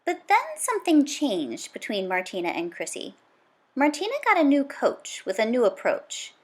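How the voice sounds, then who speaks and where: Female read-aloud narrator for children's nonfiction, slightly high pitch, very lively intonation, dramatic emphasis and punchy delivery. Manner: dramatic, emphatic delivery with punchy exclamations and drawn-out key words. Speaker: storybook read-aloud reader.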